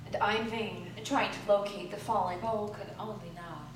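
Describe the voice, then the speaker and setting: A woman's voice: mid-range voice, steady tone, normal speed. Distant, echoing woman reading dramatic fiction. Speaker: stage reader. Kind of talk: dramatic literary narration. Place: live theatre performance. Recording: echoey theatre, distant mic, noisy.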